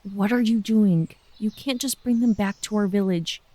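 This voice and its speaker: A woman playing an earnest, wary villager in a sci-fi audio drama, speaking deliberately. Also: medium pitch, mellow, dry recording, close to an everyday voice. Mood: urgent, cautioning.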